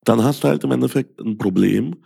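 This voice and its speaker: A man's voice: medium pitch, brisk, gravelly, dark tone, husky. A man from Ukraine, a sales coach, talking through a podcast monologue with many fillers and "ja?" tags. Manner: frequent fillers, rhetorical "ja?" tags.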